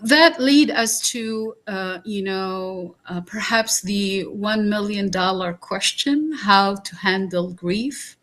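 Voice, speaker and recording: A woman from Iraq, a guest on a talk podcast, speaking slowly and earnestly with many pauses and fillers. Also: medium pitch, fairly bright tone.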